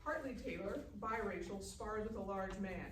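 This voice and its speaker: Picked up at a distance in an echoey room with faint noise, a woman reads scripted stage directions. Her pitch is medium, in a narrator's delivery. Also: normal speed. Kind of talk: scripted narration at live table read. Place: live reading in a festival room.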